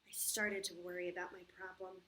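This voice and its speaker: A woman hosting a story time for young children, talking in simple, patient, expressive phrases with frequent questions. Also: on the low side, a little fast, a little hiss or hum. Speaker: children's church story host.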